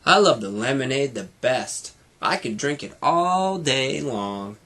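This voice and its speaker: A man voicing an excitable, curious young boy mouse in an eager, exclaiming way for a storybook. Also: medium pitch, measured pace, full character voice.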